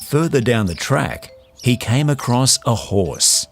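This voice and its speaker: A man's voice: medium pitch, expressive, slow, creaky, music in the background. A man narrating a scripted children's story as its storyteller.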